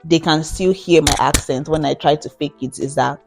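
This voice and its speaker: A woman's voice: low-pitched, normal speed, creaky, soft background music. A young woman with a Nigerian accent chatting casually, telling anecdotes in an emphatic way and often repeating phrases for stress. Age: young adult. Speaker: guest, international student. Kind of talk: casual chat, personal anecdotes.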